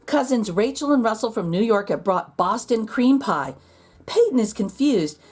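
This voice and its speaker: A woman reading a children's picture book aloud in expressive narration, with deliberate dramatic emphasis. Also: a little high, slightly rough. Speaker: children's storybook reader. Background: music.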